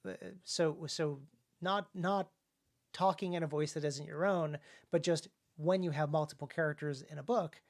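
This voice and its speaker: A man co-hosting a casual livestream discussion as an author, in a halting, discursive way that often restarts phrases. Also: fairly high pitch, fairly even intonation. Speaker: author and co-host of a writing talk show.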